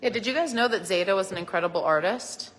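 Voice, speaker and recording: A woman hosts a relaxed livestream, talking in a chatty, upbeat way as she passes along viewers' comments. Her pitch is medium, and the room adds a long reverb. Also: quick pace, slight background noise. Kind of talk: casual chat.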